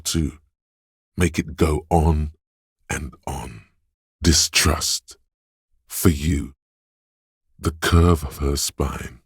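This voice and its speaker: A man voicing the brooding, sly inner voice of a detective's mind in a video game, narrating in a measured, theatrical way with a gravelly, husky voice. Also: very deep voice, no echo, clean studio sound, full character voice. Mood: intense, hushed. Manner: measured, deliberate, theatrical delivery. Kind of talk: dramatic scripted game narration of inner thoughts.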